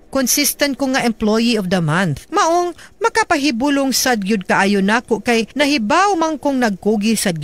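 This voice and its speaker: A woman's voice: medium pitch, fairly bright tone. A woman lawyer answering listener questions on a radio advice show, explaining patiently and tagging her points with a questioning "no?". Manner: mixes local language with English phrases. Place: radio studio.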